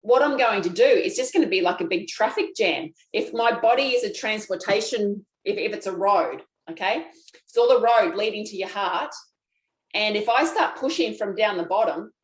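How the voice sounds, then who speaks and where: A woman's voice: medium pitch, roomy sound, rough recording. A woman teaching a guided self-massage class, chatty and folksy, talking straight to her viewers. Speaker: lymphatic drainage instructor.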